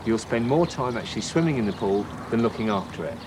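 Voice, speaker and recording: A man giving a measured, matter-of-fact explanation as a company representative in an instructional sales film. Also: fairly low pitch, animated, background noise, lightly acted.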